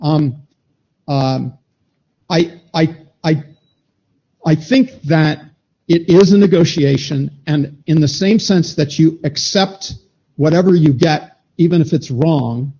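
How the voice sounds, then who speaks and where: Husky, higher-pitched male speaker, halting delivery, lo-fi meeting audio. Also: warm tone. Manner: halting, repeats words as he restarts. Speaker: meeting participant. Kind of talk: technical discussion at a standards meeting. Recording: dull lo-fi conference room audio.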